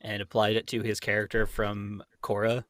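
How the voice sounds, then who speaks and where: A man's voice: lowish voice, monotone, moderate pace, fairly bright tone. A young adult man talking casually and informally with a group of friends.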